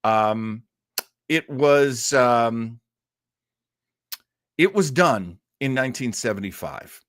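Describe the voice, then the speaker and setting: A man's voice: mid-range voice, animated, takes it slowly, fairly bright tone, no echo, clean studio sound. A middle-aged man hosting an old-time radio livestream in a casual, chatty, rambling way, effusive and self-deprecating.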